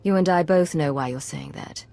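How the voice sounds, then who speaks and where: A woman's voice: low-pitched, normal speed, creaky, mellow. An actress playing a composed, loyal female secret agent in a video game, speaking in a controlled, serious and direct manner. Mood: composed, earnest. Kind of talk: cinematic video game dialogue.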